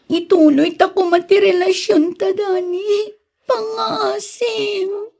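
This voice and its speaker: A woman's voice: very high-pitched, steady tone, slow, gravelly, darkish tone, exaggerated voice. An actress playing a lovelorn, pleading young woman in an emotional radio drama style. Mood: tearful pleading. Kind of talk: Ilocano radio drama acting.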